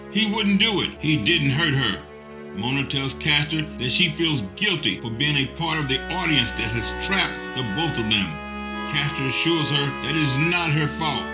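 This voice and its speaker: Male narrator, raised pitch, dull lo-fi recording over music. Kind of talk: scripted narration. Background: clear music underneath.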